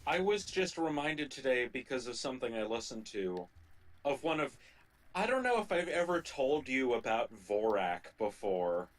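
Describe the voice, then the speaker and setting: A man co-hosting a gaming livestream, chatting casually in a rambling, halting way that often circles back and repeats phrases. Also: mid-range voice, sing-song. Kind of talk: casual chat during gameplay.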